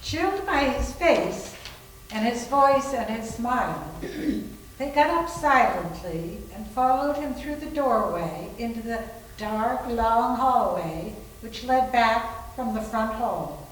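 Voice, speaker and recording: A woman narrates a scripted story at an unhurried pace. Her voice is on the high side, heard from a distance in a very echoey room. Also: expressive. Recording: very echoey room, distant mic, faint noise.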